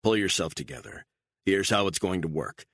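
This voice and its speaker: A man voicing a calm, menacing gunman who gives clipped, flat threats. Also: deep voice, normal speed, dry recording, studio quality, full character voice. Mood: menacing, cold.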